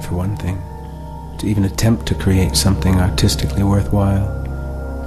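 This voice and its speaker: A man narrating a scripted, reflective monologue in a measured, deliberate and articulate way. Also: deep voice. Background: music.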